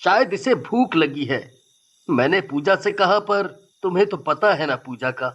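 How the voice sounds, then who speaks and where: A man's voice: high voice, expressive, a little slow, fairly bright tone, slightly rough, full character voice. An actor playing an exasperated young husband and devoted father in a story, reproachful and earnest.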